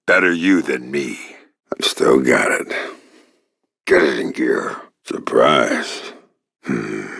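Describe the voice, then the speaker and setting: An actor playing a gruff, wry, middle-aged covert agent in a video game, speaking tersely in a gravelly, often breathy growl with deadpan sarcasm. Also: male voice, extremely low pitch, no echo, studio quality, heavily acted. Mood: low, deadpan.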